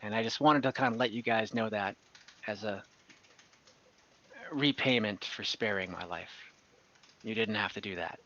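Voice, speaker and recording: A cynical female ex-bandit played by a man in a tabletop roleplaying game. The voice is forward and bright, halting and world-weary, through dull online-call audio. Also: on the low side, slight background noise. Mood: guarded, grateful.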